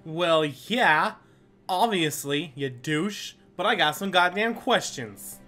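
A foul-mouthed, excitable man giving casual commentary on a video game as he plays. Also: high voice, slow pace. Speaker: gaming video host. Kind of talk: casual let's play commentary. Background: game music.

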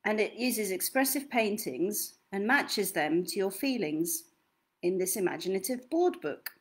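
A young woman reading picture books aloud to children in an expressive, theatrical way, drawing out key words. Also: mid-range voice. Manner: theatrical, deliberate, drawn-out delivery of key words. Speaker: children's storytime reader. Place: small indoor room at home.

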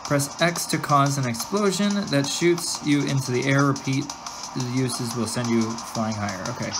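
Low-pitched woman, casual gameplay commentary, giggly and exclaiming, game music behind. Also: moderate pace, low-quality recording. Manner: giggly, easily distracted, exclaiming. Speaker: gameplay host. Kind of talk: casual let's-play commentary. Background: video game music.

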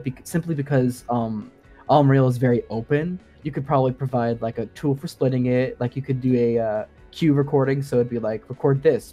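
A man gives a casual, detailed technical explanation as a podcast co-host. His voice sits at a middle pitch, and he talks informally with plenty of hedging. Music plays behind him. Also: mellow. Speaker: podcast guest co-host explaining game sound design.

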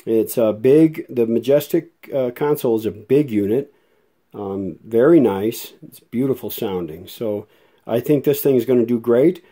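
A man casually narrating a hands-on demonstration as host, folksy and unhurried, thinking aloud as he goes. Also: mid-range voice, mellow. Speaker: video host and radio restorer.

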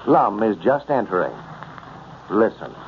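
An American man working as a 1930s radio announcer, delivering scripted sales pitches and narration. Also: big pitch swings, unhurried. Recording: muffled, noisy old radio broadcast.

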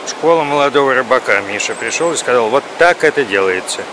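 A man talks behind the camera with a wry, lively delivery, his pitch rising and falling freely. Loud rushing stream noise fills the outdoor recording. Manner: wry, commenting on what he films. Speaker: person filming a fishing trip. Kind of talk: casual narration while filming. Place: outdoors by a river.

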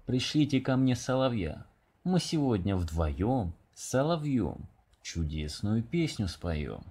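A man's voice: fairly low pitch, sing-song, takes it slowly, darkish tone, husky, full character voice. A man voicing a self-satisfied pig, eager to sing, in a children's storybook.

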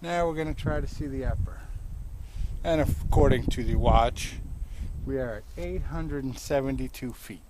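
A middle-aged man rambling to a handheld camera as he walks a wooded trail, commenting on the hike. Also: medium pitch. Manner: slow, rambling commentary to the camera while walking. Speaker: hiking vlogger. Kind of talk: casual hiking vlog narration. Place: wooded trail outdoors by a stream. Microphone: handheld action camera at arm's length. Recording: noisy outdoor camera audio.

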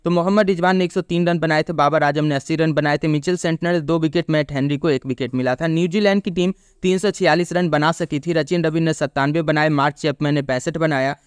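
A man giving a cricket pitch report and match preview, reading out scores steadily with brief restarts. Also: fairly even intonation, a little fast, few pauses, clear, resonant tone, dry recording, studio quality. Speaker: cricket analyst.

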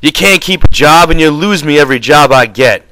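A man's voice: lowish voice, animated, normal speed, fairly bright tone, clean tone, low-quality recording. A man voicing an angry, exasperated, bullying drifter with folksy, ungrammatical speech in an audiobook. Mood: furious. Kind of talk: audiobook character dialogue.

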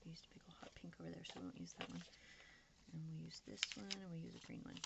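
A woman with a creaky voice rambles casually and candidly to her viewers, poking fun at herself and half talking to herself as she crafts at a table. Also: big pitch swings, racing, in a whisper. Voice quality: low, creaky voice. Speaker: craft vlogger. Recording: noisy room recording with background hiss.

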